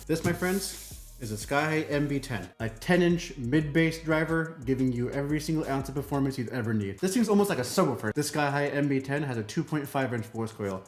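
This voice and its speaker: A man's voice: medium pitch, expressive, fairly quick. A man hosting a product unboxing in an emphatic, casual, punchy style, like a hyped channel presenter. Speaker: product review channel host. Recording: roomy echo, faint music behind.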